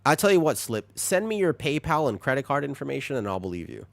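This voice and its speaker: A man streaming a video game, chatting with viewers, reacting with excited high bursts and then dropping into quiet asides. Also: fast, gravelly. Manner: animated, jumps into high excited bursts while reading chat; drops to low, quiet asides while setting up. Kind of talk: live-stream chat while gaming.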